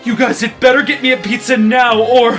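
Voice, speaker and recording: An actor voicing a hungry, impatient pizza troll who whines and shouts complaints in an audio drama. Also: medium pitch, little pitch movement, fairly quick, close to an everyday voice. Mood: impatient, angry. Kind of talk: fan audio drama acting. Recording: music behind the voice.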